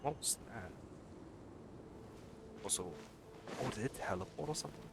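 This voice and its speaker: A man's voice: mid-range voice, lively intonation, moderate pace, gravelly, very noisy. A man giving casual, offhand live commentary as he plays a video game. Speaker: game streamer. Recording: loud game music behind.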